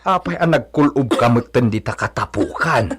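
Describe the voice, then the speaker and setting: A man playing a concerned, plain-spoken village manservant in a radio drama, husky and conversational. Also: mid-range voice, animated, moderate pace.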